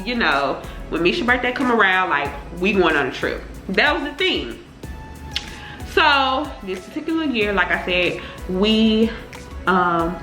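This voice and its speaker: A woman's voice: medium pitch, pushed and bright, echoey room. A young woman chatting casually to her viewers in informal slang, sounding congested from a cold. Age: young adult. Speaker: beauty vlogger. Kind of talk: casual chatty get-ready-with-me talk.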